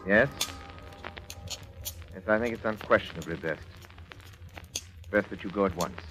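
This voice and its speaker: A very deep-voiced psychiatrist in a vintage radio thriller, formal and measured. He is cold, controlling and full of ambition. Loud music sits behind the old recording. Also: rough recording. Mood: icy, controlled. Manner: formal, measured, grandiose diction. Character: cold, ambitious, controlling male psychiatrist husband, narrating his story. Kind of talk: 1950s radio suspense acting and first-person narration.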